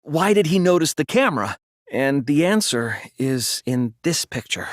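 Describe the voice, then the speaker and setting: A man voicing an earnest, soft-spoken and hesitant teenage boy detective in a dubbed video game, explaining things carefully. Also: fairly high pitch, sing-song, normal speed, no room sound, clean studio sound. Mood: careful reasoning.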